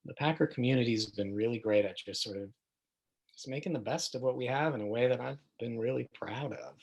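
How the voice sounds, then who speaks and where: A man's voice: mid-range voice, a little fast, a little mellow, breathy edge. A man playing a proud, appreciative member of a school community in documentary theater, sincere and conversational. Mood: proud, thankful.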